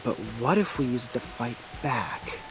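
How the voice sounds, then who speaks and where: A man's voice: medium pitch, lively intonation, normal speed, a bit bright, faint music behind, natural voice. An actor playing an eccentric, theatrical young man who loves horror, in a video game. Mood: scheming. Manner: theatrical, teasing, grandiose. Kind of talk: English video game character acting.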